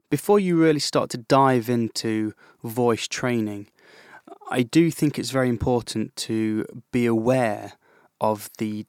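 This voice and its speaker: A man hosting his own podcast as a vocal coach, explaining things calmly with frequent fillers and restarts. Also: medium pitch, no room sound, studio quality. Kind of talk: solo educational podcast talk.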